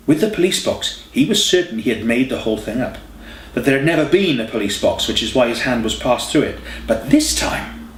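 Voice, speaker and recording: A man's voice: mid-range voice, a little hiss or hum. A man reading a scripted story as an audiobook narrator. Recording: roomy, echoey home recording.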